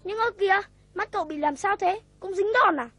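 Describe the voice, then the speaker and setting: An inquisitive young cartoon boy, extremely high in pitch. He is the eager, loyal sidekick, heard over clear background music. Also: a little fast. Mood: admiring. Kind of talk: cartoon voice acting. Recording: music behind cartoon dialogue.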